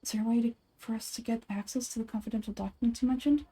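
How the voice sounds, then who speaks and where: A woman's voice: a little high, fairly even intonation, very fast, mellow, husky. A woman reading video game dialogue aloud as an amateur voice actor.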